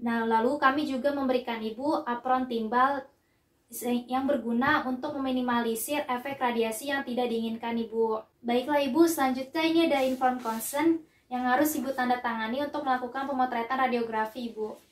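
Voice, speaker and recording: High-voiced young woman, echoey instructional narration. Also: steady tone, fairly quick. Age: young adult. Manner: polite, careful step-by-step explaining. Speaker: dental student demonstrating a procedure. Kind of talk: instructional demonstration, scripted narration. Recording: echoey room with music behind.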